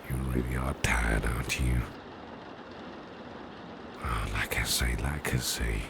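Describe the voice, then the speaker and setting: Casting: male actor for doting boss in ASMR roleplay, soothing and genteel, breathy bottomless-low murmur, unhurried delivery. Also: full character voice. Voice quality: breathy, half-whispered, very deep gravelly murmur. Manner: slow, soothing, repeats reassurances, genteel phrasing. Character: doting, reassuring businessman boss, soothing and flirtatious. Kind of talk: ASMR personal-attention roleplay.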